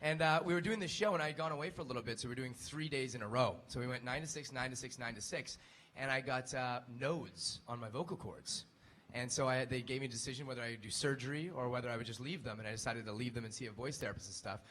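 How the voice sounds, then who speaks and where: A man, a voice actor, telling stories on a convention panel in a quick, chatty, upbeat way. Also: mid-range voice, fairly bright tone, a little hiss or hum.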